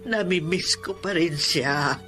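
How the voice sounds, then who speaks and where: Casting: lonely old woman in a care home, sorrowful, slow delivery, music underneath. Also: lowish voice, a bit bright. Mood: wistful, sad. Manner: sorrowful, halting. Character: lonely elderly auntie abandoned in a care center. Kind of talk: radio drama acting.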